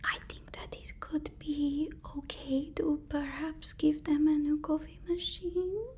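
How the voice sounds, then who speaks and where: A woman whispering an ASMR roleplay as a deferential, fussy personal assistant to a supervillain, speaking haltingly and repeating her phrases. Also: high voice, fairly even intonation, a little mellow, a little hiss or hum. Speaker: ASMR performer.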